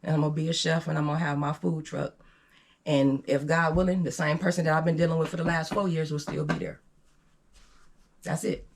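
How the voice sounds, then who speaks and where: Woman in her 50s, gravelly fry in the voice, blunt casual talk, not ashamed of anything. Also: deep voice, level tone, a little fast. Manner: blunt, unapologetic, emphatic. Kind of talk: casual candid chat.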